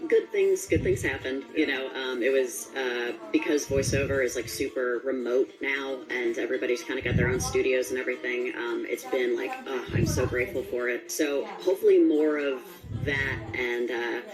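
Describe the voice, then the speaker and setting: Woman, very deep pitch, casual rambling interview talk, enthusiastic, faint music behind. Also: fairly even intonation, normal speed, few pauses, low-quality recording. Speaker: voice actor being interviewed.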